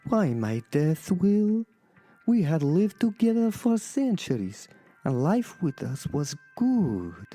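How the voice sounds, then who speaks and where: The ghost of a murdered wife, acted by a woman, pleads in an audio drama. The voice is low and dark, very slow and wounded, questioning plaintively. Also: very animated, soft background music, heavily acted.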